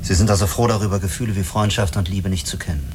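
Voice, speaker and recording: With distinct noise behind him, an actor plays a male spaceship commander in a radio play. His voice sits slightly low. He is courteous and cautious, putting thoughtful questions with some hesitation. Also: fast talker, natural voice. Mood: curious, probing. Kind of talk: German radio drama acting.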